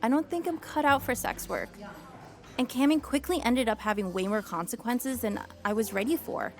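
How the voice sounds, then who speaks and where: A woman's voice: on the high side, normal speed, natural voice. An actress playing an earnest, easily indignant young woman entrepreneur in a naturalistic comedy-drama podcast. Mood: resigned, reflective.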